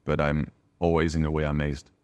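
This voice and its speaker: A man's voice: very deep voice, completely flat delivery, a little fast, smooth. A man from France with a French accent, a native French speaker, talking reflectively and emphatically as an interview guest. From France, a small village in Bordeaux. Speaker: podcast guest, wine bar owner.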